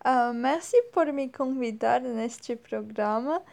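A woman in her twenties from France with a French accent, speaking slowly and hesitantly as an interview guest, often pausing to search for words. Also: on the high side, gravelly, darkish tone. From northern France. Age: 20s. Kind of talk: slow, careful speech with many pauses and fillers.

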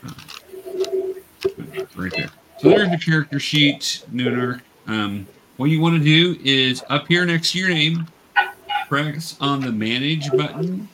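A man speaking casually and haltingly as a game master, explaining things to players and viewers. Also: a little high, heavy background noise.

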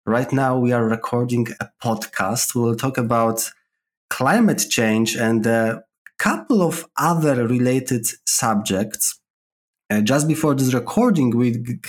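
A man's voice: medium pitch, unhurried. A man from Poland with a Polish accent, a podcast guest who explains his views with examples on a call. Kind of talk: casual interview chat. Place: remote call.

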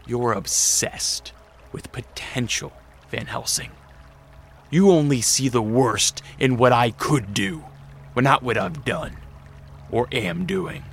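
An actor playing Dracula as a brooding, restrained vampire count in a radio drama, speaking in measured, formal phrases. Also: male voice, mid-range voice, fairly bright tone, husky, heavily acted. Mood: measured, stern. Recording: music behind the voice.

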